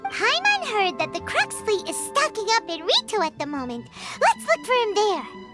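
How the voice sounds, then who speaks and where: An extremely high, childlike game fairy, tiny and excitable. Her pitch swings wildly as she exclaims, indignant and protective, with music playing behind her. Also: normal speed, full character voice. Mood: curious, eager. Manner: speaks of self in third person, exclamatory. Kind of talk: animated video game dialogue.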